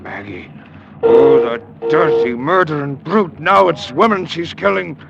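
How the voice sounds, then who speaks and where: On a dull old radio recording, a bitter sea captain with a gravelly, creaking voice. His delivery is halting and heavy with anguish, in classic radio drama style. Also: a little high.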